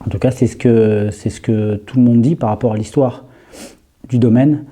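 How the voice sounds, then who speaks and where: A man with a heavy cold, sniffling, quietly calling out to spirits in an empty house and often trailing off and starting again. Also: medium pitch, fairly even intonation, normal speed. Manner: hushed, hesitant, trailing off and restarting. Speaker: paranormal investigator. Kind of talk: live ghost-hunting vlog, calling out to spirits. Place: empty old house at night.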